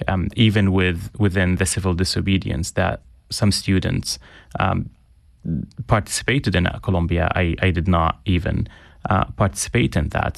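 A young man from Syria, an interview guest speaking earnestly, pausing with frequent ums and restarts. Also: sits low, creaky, no room sound, studio quality. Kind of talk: reflective interview conversation.

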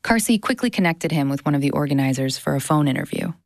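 A woman's voice: low-pitched, expressive, fast talker, gravelly, dry recording, clean studio sound. A woman, around her thirties, narrating a scripted audio documentary as its host. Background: music bed.

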